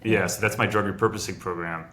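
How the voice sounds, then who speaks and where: A man's voice: deep voice, fairly even intonation, quick pace, crowd noise. A middle-aged man from France, a founder on a video call, explaining a long process step by step with restarts. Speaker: biotech founder and guest. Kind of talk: casual video interview.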